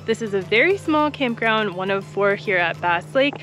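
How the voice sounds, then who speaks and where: A young woman vlogger talking to a handheld camera outdoors in a wooded park, giving a clear, practical tour of a campground with friendly tips. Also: mid-range voice, lively intonation, moderate pace, fairly bright tone, soft background music. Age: young adult. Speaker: travel vlogger touring a campground. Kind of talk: informative park tour narration. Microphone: camera held at arm's length.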